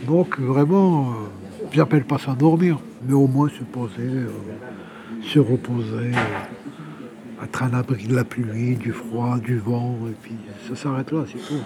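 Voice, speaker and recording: A man's voice: mid-range voice, measured pace. Older man talking amid background chatter. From Algeria, Kabyle. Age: 60s. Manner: informal, repeats words while searching for the next. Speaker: interviewee, exiled journalist living homeless. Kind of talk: personal testimony, conversational storytelling.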